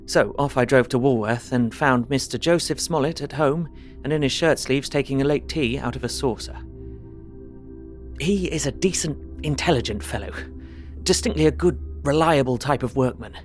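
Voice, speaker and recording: An actor with a British accent playing an earnest Victorian gentleman, narrating his journal formally and precisely in an audio drama. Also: medium pitch, moderate pace, soft background music, lightly acted. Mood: methodical, intent.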